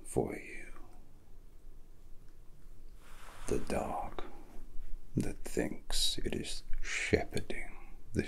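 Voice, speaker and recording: A cold, grandiose villain in an ASMR roleplay. He whispers extremely slowly and deliberately, with a very deep, gravelly rasp under the breath. Every phrase is soft, theatrical and full of threat. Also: exaggerated voice. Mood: smug menace. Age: young adult.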